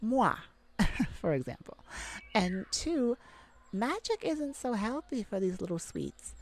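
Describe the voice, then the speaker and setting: A woman playing a caring, affectionate mage gardener in a gentle ASMR roleplay audio drama. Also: medium pitch, sing-song, takes it slowly. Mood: playful, caring. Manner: affectionate, gentle, full of endearments. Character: tender, caring mage gardener devoted to their werewolf mate.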